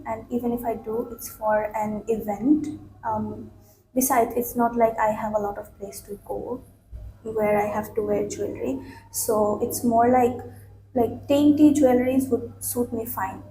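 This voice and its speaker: A woman's voice: fairly high pitch, unhurried. A young woman from Bangladesh taking a mock English speaking test, answering with many fillers and restarts. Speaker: IELTS mock test candidate. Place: small office room, indoors. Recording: echoey room with faint noise.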